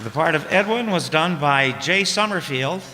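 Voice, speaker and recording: A man announcing closing credits for a radio play, informal and halting. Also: a little high, expressive, a crowd in the background. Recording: echoey hall, loud noise, audience sounds.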